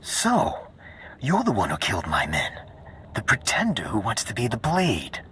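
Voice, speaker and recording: An actor playing a menacing, boastful male space pirate in a video game, gravelly and threatening. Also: on the low side, huge pitch swings, measured pace, a bit bright, slight background noise, full character voice. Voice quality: gravelly, husky. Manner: threatening, taunting.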